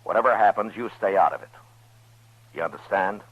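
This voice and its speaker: A man acting a frontier US marshal on a dull old radio recording. His voice is low. He sounds weary but firm and fair, giving blunt, laconic orders with natural authority. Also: brisk, loud music behind the voice, natural voice. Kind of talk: 1950s radio western acting.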